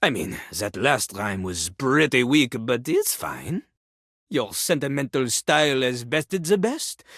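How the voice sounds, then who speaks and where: An actor playing a boastful French talking toilet in a video game, a pompous, theatrical rapper with a French accent. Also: male voice, mid-range voice, very animated, unhurried, a bit bright, no room sound, clean studio sound, full character voice. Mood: grudgingly impressed. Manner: pompous, theatrical, refers to himself in third person. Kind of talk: video game character acting.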